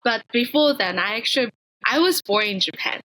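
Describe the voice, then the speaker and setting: A woman from Taiwan talks as an interview guest, earnest and reflective, sometimes pausing to find the right word. Her voice is bright and a little high, and the sound is close and dry. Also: lively intonation, no room sound, rough recording. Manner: earnest, reflective, searching for words. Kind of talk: casual conversational interview.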